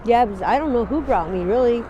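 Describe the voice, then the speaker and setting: Woman, forties, from Wyoming, speaks unpolished and halting, wanders off mid-thought, laughs easily, street sounds behind her. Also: on the high side, lively intonation, warm tone, muffled recording, a little hiss or hum. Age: 40s. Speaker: interviewee. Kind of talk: candid street interview. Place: outdoors on a city street.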